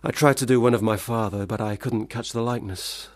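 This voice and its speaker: An actor in a radio play, a man, playing a failed, self-pitying young gentleman writer with formal, wordy diction. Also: mid-range voice, fairly quick, a little hiss or hum, lightly acted. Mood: formal, apologetic. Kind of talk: radio play monologue and dialogue.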